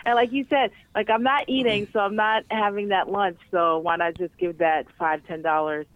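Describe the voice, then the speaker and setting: A woman's voice: mid-range voice, fairly even intonation, moderate pace, no room sound. A woman in casual conversation as a guest, chatty and full of fillers and restarts. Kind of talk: casual chat. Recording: tinny phone line.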